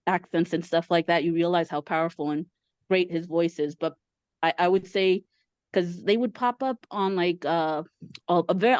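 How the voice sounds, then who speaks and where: Mexican woman, 30s, chatty and excited, lots of um and uh, muffled dry zoom audio. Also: on the low side, no echo. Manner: eager, chatty, many fillers. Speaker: caller. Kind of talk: casual chat. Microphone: video call.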